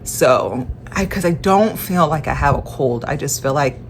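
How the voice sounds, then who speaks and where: A young woman with a stuffy, congested voice, talking in a casual personal vlog, halting and tired, with many restarts and fillers. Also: low-pitched, animated, slight background noise. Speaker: vlogger.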